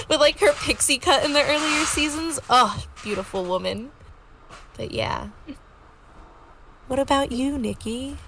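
A woman's voice: high-pitched, lively intonation, measured pace, long pauses, background noise. A woman in her early twenties hosting a casual podcast chat, playful and teasing. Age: 20s. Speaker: podcast host.

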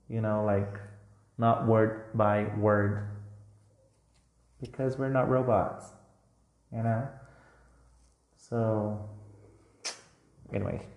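A man's voice: fairly low pitch, creaky, darkish tone. A man giving a recorded English lesson to learners, talking casually and reassuringly. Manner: casual and reassuring, with frequent "like" and "you know". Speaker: English teacher. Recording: roomy echo with faint guitar music.

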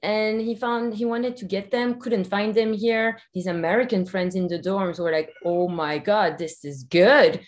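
A woman's voice: medium pitch, fairly even intonation, unhurried, few pauses. Upbeat woman with a Belgian accent. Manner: upbeat, chatty, laughs easily. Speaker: guest, company co-founder. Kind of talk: podcast interview.

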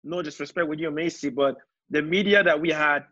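Man in his thirties on a video call, slightly high. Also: steady tone, a little fast, bright, forceful tone. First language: not English. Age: 30s. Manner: reflective, loose with fillers and repeats. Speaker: panelist. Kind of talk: casual video-call discussion. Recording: dull, dry video-call audio.

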